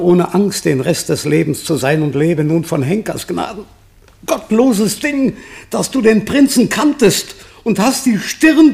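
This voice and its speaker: A man's voice: high voice, creaky, a little mellow. An older actor playing a humble old shepherd father in a classical radio play, theatrical and plaintive. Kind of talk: German radio play, classical stage verse.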